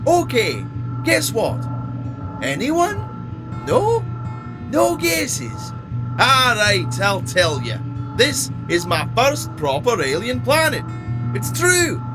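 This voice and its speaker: A man playing a naive, eager little robot adventurer in an audio drama, with an excitable, guileless, chatty manner. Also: very high voice, slow, long pauses, over music, exaggerated voice, low-quality recording. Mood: excited, chirpy.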